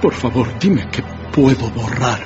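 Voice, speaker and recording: An actor playing a repentant old miser, fervent and exclamatory, in a theatrical radio drama. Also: male voice, medium pitch, expressive, unhurried, natural voice. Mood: earnest imploring. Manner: exclamatory, emotional, repeats words. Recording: dull band-limited audio, music underneath.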